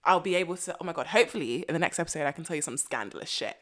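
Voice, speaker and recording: A woman's voice: deep voice, rapid-fire. A young woman co-hosting a podcast, chatting casually with slang and frequent laughter. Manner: animated, slangy, laughs often. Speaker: podcast co-host. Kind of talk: casual chat.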